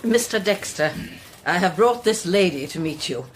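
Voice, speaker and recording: Woman playing a headstrong, very animated older lady. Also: on the low side, a little hiss or hum, lightly acted, rough recording. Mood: brisk. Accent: British. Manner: brisk, commanding, impatient. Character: brisk, headstrong older mother-in-law. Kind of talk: radio drama acting.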